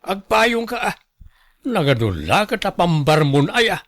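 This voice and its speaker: Casting: put-upon village watchman and husband played by a man, grumbling, slightly high voice, radio drama, dry sound. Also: sing-song, measured pace, fairly bright tone, no room sound, full character voice. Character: exasperated village husband, a humble local watchman.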